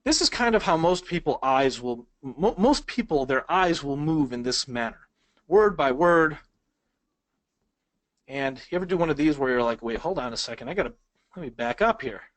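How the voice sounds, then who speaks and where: A man's voice: on the high side, expressive, normal speed, no echo. Man teaching a webinar, dry sound. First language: Polish. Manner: conversational, asks the audience questions. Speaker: speed reading instructor. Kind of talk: webinar lesson.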